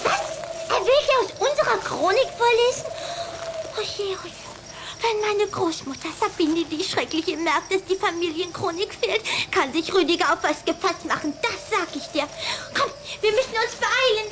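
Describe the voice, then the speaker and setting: An actress playing a bossy but affectionate little vampire girl in a children's audio play, dramatic and quick to scold. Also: extremely high pitch, lively intonation, noisy background. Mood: alarmed, scolding.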